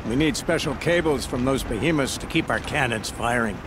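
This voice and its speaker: Actor doing a chatty worried guard guy from a video game, mid pitch, fretting wryly, noisy outdoor background. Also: fairly quick, lightly acted. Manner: fretful, wry, talkative. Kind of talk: video game character acting. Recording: clear outdoor ambient noise behind.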